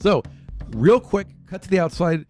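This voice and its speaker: A man's voice: high voice, animated, normal speed, darkish tone. A man running a tabletop game as game master, narrating the action casually and improvising as he talks. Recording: music behind the voice. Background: background music.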